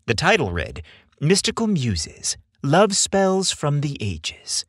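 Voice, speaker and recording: A man hosting a story podcast, reading scripted narration with theatrical, deliberate phrasing. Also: fairly high pitch, huge pitch swings, breathy edge, no room sound, clean studio sound.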